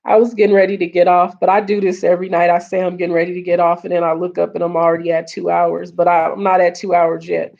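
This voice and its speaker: A woman hosting a casual live stream, earnest and repeating her points, greeting viewers, her voice getting hoarse. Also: on the low side, flat delivery, fast talker, hardly any pauses. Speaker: livestream host. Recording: dull lo-fi stream audio.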